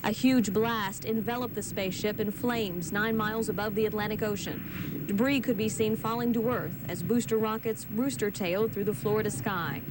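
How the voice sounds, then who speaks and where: A woman's voice: mid-range voice, steady tone, normal speed. An American woman narrating a scripted television news report. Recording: old TV broadcast, clear background noise.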